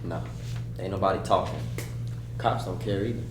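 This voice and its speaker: A man reading the part of an earnest, hesitant, respectful young man at a table read, halting and casual. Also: low voice, close to an everyday voice. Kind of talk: script read aloud at a table read. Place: roomy indoor table read. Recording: echoey room, distant mic, noisy hum.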